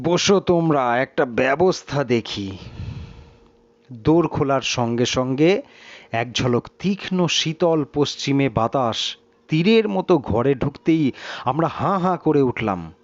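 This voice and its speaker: A man's voice: mid-range voice, dry recording. A man reading a story aloud as a dramatic audiobook narrator. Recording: slightly dull, muffled sound.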